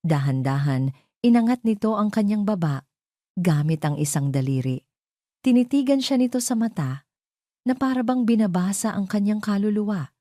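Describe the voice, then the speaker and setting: A woman's voice: mid-range voice, animated, moderate pace, dark tone, no echo, clean studio sound. A woman narrating a scripted romantic drama as a storyteller.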